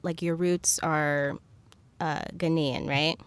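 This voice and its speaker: A woman's voice: low-pitched, fairly even intonation, slow, clean tone, no echo. A young woman from Zambia hosting a casual interview, feeling her way through questions with tentative, like-filled phrasing. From Zambia, moved to the US at ten. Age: young adult. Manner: tentative, searching phrasing with many likes. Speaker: podcast host, interviewer.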